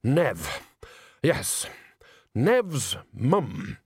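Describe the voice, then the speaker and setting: A man's voice: medium pitch, huge pitch swings, drawn-out words, slightly rough, dry recording, studio quality, exaggerated voice. A man voicing an earthy old woman witch who mutters and grumbles disapprovingly in a storybook. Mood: grudging, awkward.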